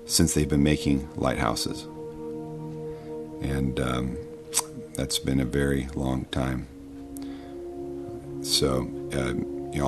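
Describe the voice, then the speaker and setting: Really low deep guy voice, chatty halting explainer, patient, faint music behind him. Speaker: video narrator explaining science. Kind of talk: informal explanatory voice-over.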